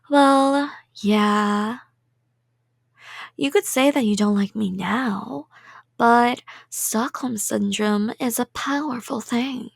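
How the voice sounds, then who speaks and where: A high, unhurried voice, very close and dry: an obsessed young yandere woman, performed by a voice actress in an ASMR roleplay. She rambles coyly, making threats sound sweet and affectionate. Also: creaky, no echo, exaggerated voice. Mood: smug, playful. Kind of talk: intimate ASMR roleplay acting.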